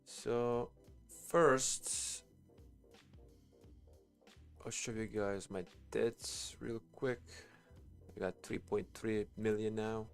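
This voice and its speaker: A man talks through a game on a live stream. His voice sits on the low side and has a clear creaky fry. He rambles informally, thinking aloud as he goes, with music playing behind him. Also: fairly even intonation, very slow, long pauses. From Bosnia and Herzegovina. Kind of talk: casual game stream commentary.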